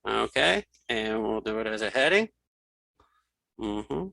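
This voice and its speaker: A man giving a casual software tutorial, thinking aloud as he demonstrates each step. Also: lowish voice, expressive, slow pace, creaky, no echo. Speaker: instructor.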